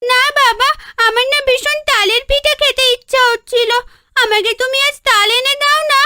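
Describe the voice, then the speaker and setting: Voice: very high voice, fairly even intonation, moderate pace, bright, forceful tone, heavily acted. A performer voicing a stubborn, eager little village girl in a cartoon, whiny and insistent. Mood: pleading, insistent. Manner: pleading, insistent, childlike. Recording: noisy track with clicks.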